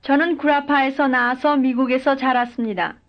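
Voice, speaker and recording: A woman's voice: sits high, steady tone, moderate pace. A woman speaking full model sentences in a language drill, each one carefully and evenly delivered. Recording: dull lo-fi recording.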